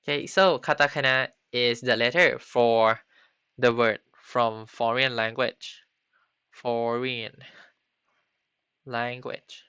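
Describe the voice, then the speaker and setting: A man teaching a beginner Japanese class on a live stream, halting often with ums and restarts. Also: mid-range voice, steady tone, pushed and bright, clean tone, no echo. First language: not Japanese. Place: home computer setup. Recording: slightly dull lo-fi stream audio.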